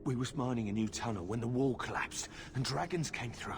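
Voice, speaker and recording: A man voicing a frightened rustic miner in a fantasy video game, hushed and folksy. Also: medium pitch, brisk, lightly acted. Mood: frightened, relieved.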